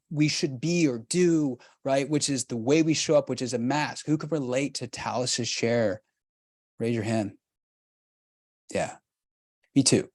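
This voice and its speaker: A man's voice: mid-range voice, dry recording, studio quality. A middle-aged man hosting a workshop, coaching the group in an emphatic, urging way with lots of repetition.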